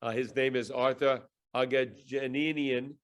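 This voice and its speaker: A man of middle pitch hosts a podcast and introduces the show and its guest. He rambles and fills his pauses with many "uh"s. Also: fairly even intonation. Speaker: podcast host.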